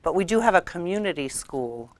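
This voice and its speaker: A woman's voice: on the low side, big pitch swings, brisk, slight background noise. Earnest middle-aged woman guest. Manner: earnest, uses many fillers like "you know". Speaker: guest, school committee member. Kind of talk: interview conversation. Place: small TV studio set at a table.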